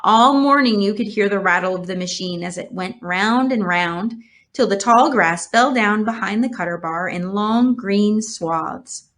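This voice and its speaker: Female storybook reader, mid-pitched, measured and expressive, narrating a children's novel.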